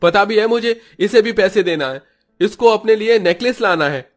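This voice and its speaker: A man's voice: sits high, moderate pace, faint music behind, pitched above the performer's own voice, close to an everyday voice. A man voicing an irritated, selfish grown son in a Hindi cartoon story. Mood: angry.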